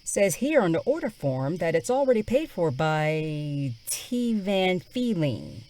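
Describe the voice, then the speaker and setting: A brisk veterinarian in an audio drama. Her lowish voice moves widely in pitch, cheerfully insistent and businesslike as she bluffs. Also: sing-song, warm tone, exaggerated voice. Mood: brisk bluffing.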